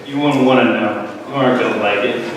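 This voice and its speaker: A man's voice: mid-range voice, fairly even intonation, a little fast, natural voice. A man playing an impatient, insolent younger son in a dramatic reading, brash and sarcastic. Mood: restless, brash. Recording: echoey hall, distant mic, faint noise.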